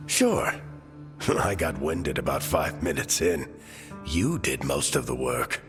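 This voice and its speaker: Very deep-voiced, wry old-hand mentor. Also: male voice, very animated, takes it slowly, in a large hall, over music, heavily acted. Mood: wry, encouraging. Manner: casual, wry, encouraging. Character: seasoned, wry injured mentor, team leader. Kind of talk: video game voice acting.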